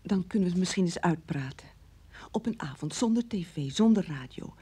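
A wife in a strained marriage for a radio drama. Her voice is very husky and fairly mellow. She probes and reproaches quietly, without much brightness. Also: on the low side, lively intonation, moderate pace. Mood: wistful. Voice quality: husky, breathy. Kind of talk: Dutch radio drama acting.

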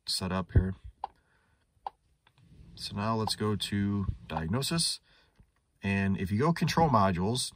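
Low-voiced man casually demonstrating a tool. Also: slight background noise. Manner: conversational, thinking aloud. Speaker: automotive technician reviewing a scan tool. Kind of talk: casual unscripted product demonstration. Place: inside a parked car in a shop.

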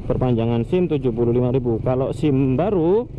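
A man's voice: medium pitch, fairly quick. Chatty young man vlogging on a motorbike. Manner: chatty, loose, with fillers and self-corrections. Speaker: motovlogger. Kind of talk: casual vlog talk while riding. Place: riding a motorcycle on city streets outdoors. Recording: dull lo-fi sound with loud traffic and engine noise. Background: road traffic and motorbike engine.

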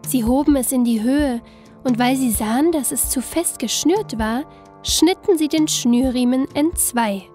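A woman narrating a scripted fairy tale in an expressive, deliberate storytelling manner. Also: sits high, soft background music.

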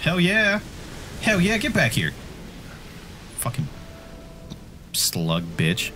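A man bantering casually as he comments on a friend's video game, joking and swearing freely in an excitable way. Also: sits high, slow pace, a little hiss or hum. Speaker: co-host commenting on a friend's gameplay. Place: indoor room, webcam setup beside another player. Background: game music.

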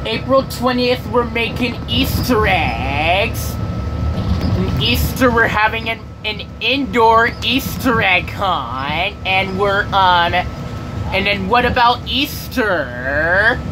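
A young man chatting casually to a handheld camera in a halting, repetitive, rambling way. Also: expressive. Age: teenager or young adult. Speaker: vlogger. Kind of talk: casual rambling vlog chat. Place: inside a moving school bus. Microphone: handheld camera at arm's length. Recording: loud bus engine and road rumble.

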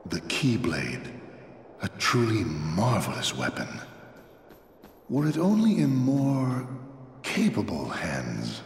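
A man playing a cold, commanding leader of a sinister order in a video game, speaking in a formal, grandiose, deliberate way. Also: deep voice, wildly swooping pitch, a little hiss or hum, exaggerated voice. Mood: grandiose mockery. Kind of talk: dramatic video game voice acting.

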